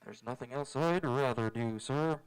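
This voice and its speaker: A man acting as a young space adventurer from a vintage radio serial. His voice has a middling pitch. He speaks politely and sincerely, in measured phrases, like an earnest hero. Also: clear, resonant tone, natural voice, poor audio. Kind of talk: old-time radio drama acting. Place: live on an auditorium stage.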